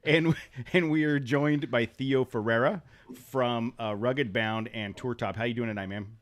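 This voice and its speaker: A man's voice: medium pitch, fairly even intonation, normal speed. A man hosting a podcast, chatting casually and rambling with many restarts as he sets up and opens the show. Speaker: podcast host. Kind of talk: casual chat.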